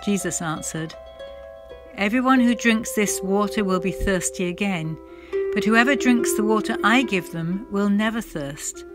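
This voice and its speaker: A woman's voice: medium pitch, a little slow. A woman reading a Gospel passage solemnly, as clergy in a church devotion. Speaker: clergy reader of scripture. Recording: prominent harp music under the voice. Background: plucked harp music.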